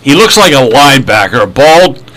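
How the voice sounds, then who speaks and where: A man's voice: medium pitch, sing-song, a little fast, fairly bright tone, rough recording. A man hosting a casual podcast chat, rambling and joking with lots of fillers. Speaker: podcast host.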